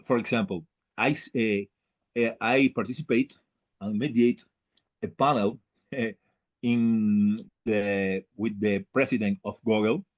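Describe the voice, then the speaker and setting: A man who speaks English as a second language, lecturing on a video call in a halting way, searching for words and repeating phrases for emphasis. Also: fairly low pitch, steady tone. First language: not English. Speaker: lecturer leading an online class.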